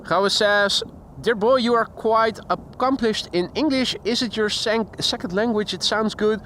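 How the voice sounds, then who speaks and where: A man's voice: sits high, unhurried, a bit bright, slight background noise. A Dutch man with a Dutch accent hosting a live plane spotting stream outdoors by an airport, chatting casually and reading chat messages aloud. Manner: chatty, reads chat aloud, imitates sounds. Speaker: plane spotting livestream host. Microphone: handheld shotgun mic.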